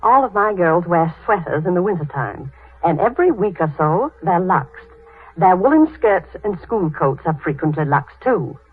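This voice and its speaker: A woman's voice: fairly low pitch, animated, normal speed, breathy edge. A woman giving a scripted testimonial in a 1930s radio advertisement. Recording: muffled, phone-like 1938 radio broadcast.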